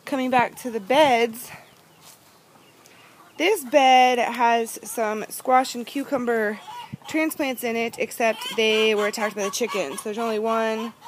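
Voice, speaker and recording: An upbeat young woman with a fairly high voice walks through her backyard garden, chatting and pointing out plants. She records on a handheld phone outdoors, so noise and chickens clucking can be heard behind her. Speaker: vlogger giving a garden tour. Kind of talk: casual walking tour narration. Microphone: phone camera held in hand. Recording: outdoor phone audio with background noise, hand over mic at times.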